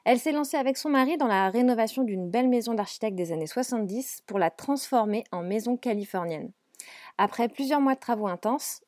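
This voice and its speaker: Woman podcast host, fairly high voice, dry close sound, cheerful and scripted. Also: no echo. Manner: bright, upbeat delivery in the read intro, hesitant with fillers in conversation. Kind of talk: scripted intro then casual interview.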